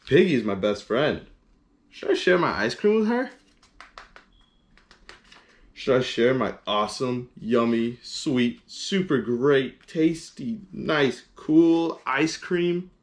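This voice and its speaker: A man voicing an excitable, childlike elephant from a picture book, exclaiming and agonizing out loud. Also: mid-range voice, slow. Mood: torn, deliberating. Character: excitable, childlike elephant torn over sharing his ice cream.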